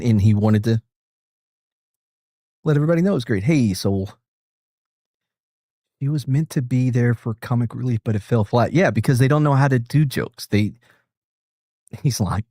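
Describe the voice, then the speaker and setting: A man's voice: mid-range voice, dark tone, no room sound, studio quality. Joking man hosting a casual chat. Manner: joking, laughs often, restarts sentences. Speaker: host. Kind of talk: casual group chat reacting to videos.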